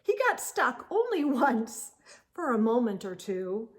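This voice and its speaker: A woman reading a children's picture book aloud as a storytime host, expressive and theatrical, with drawn-out emphasis. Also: fairly high pitch.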